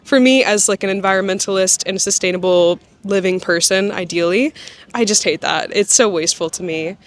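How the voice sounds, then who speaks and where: A woman's voice: medium pitch, brisk. An emphatic, opinionated woman from the United States, answering a street interview outdoors.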